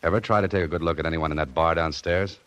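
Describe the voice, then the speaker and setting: A man's voice: deep voice, fairly even intonation, quick pace, a bit bright, no echo. An actor playing a wisecracking, hard-boiled detective who narrates his own case in an old radio drama. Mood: wry. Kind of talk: 1940s radio acting.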